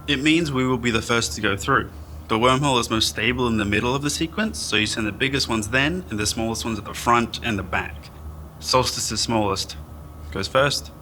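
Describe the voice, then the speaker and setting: A man playing a weary, anxious space traveler, recounting his days in a halting, understated audio drama journal. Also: mid-range voice, sharp tone, a little hiss or hum. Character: weary, anxious man awakened from centuries of cryosleep.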